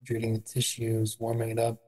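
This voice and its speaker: A meditation guide with an adult voice, leading a body scan in a calm, unhurried, gentle way with pauses. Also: mid-range voice, slightly rough.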